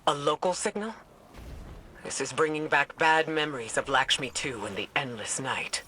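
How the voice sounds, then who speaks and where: An actress playing a steady, commanding military leader in a video game, composed and authoritative. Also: female voice, low-pitched, moderate pace, close to an everyday voice. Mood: urgent, commanding. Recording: noisy game ambience behind the voice.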